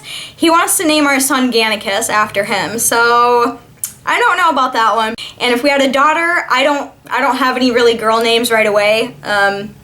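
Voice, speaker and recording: A woman's voice: a little high, pushed and bright. A twenty-year-old woman chatting casually in a question-and-answer vlog, informal and talkative as she reads and answers viewer questions.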